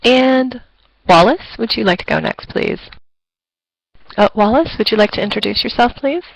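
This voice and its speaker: Teacher lady running an online class, bit of fry, very dry close sound. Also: on the high side, dry recording, muffled recording. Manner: polite, measured, repeats prompts. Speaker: English teacher. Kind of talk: online class, calling on students.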